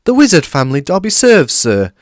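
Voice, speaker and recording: A man voicing a small, servile and tearful male house elf who talks about himself by name in a high, excitable way. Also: a little fast, no room sound, clean studio sound. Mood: earnest.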